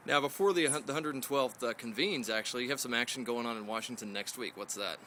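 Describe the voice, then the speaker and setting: Fast, bright-voiced male interviewer. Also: mid-range voice, a little hiss or hum. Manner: brisk, friendly questioning. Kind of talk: political interview.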